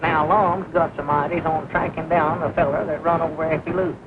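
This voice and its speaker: A man plays a mild rustic sidekick, high-pitched and folksy, with a rural Southern American accent. He sounds simple and agreeable, on a dull old comedy film soundtrack. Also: flat delivery, brisk, background noise, close to an everyday voice. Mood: eager. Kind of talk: 1940s film comedy acting.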